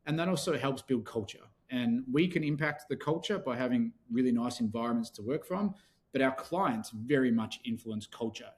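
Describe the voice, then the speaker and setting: A man being interviewed as a company founder on a podcast, explaining things in a steady, practical way. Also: mid-range voice. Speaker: podcast guest, business founder. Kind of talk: interview conversation.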